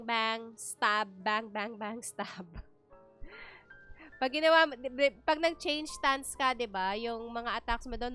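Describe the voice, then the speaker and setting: A woman game streamer with a Filipino accent chats casually and explains as she plays. Her voice is high and bright, with crisp clarity, over faint music. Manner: chatty, explanatory, switches between two languages. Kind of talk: casual livestream game commentary.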